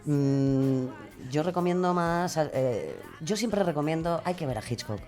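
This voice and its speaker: A low-pitched woman with a Spain accent talks as a podcast guest. Her delivery is colloquial, halting and emphatic, with faint music underneath. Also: lively intonation, mellow. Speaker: true crime podcaster and guest. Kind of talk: casual chat.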